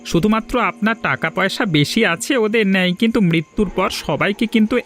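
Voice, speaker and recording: Cartoon role: stern, righteous country doctor, played by a man, high-pitched and sermonising, over music. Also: brisk, fairly bright tone. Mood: admonishing, encouraging. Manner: preachy, admonishing. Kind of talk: Bengali moral cartoon dubbing.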